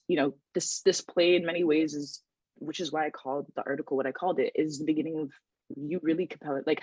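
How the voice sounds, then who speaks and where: Halting woman on a dull mic. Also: low voice, breathy edge, dry recording. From Toronto, Canada. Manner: thoughtful, halting, many restarts and ums. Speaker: writer and researcher. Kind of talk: casual podcast conversation.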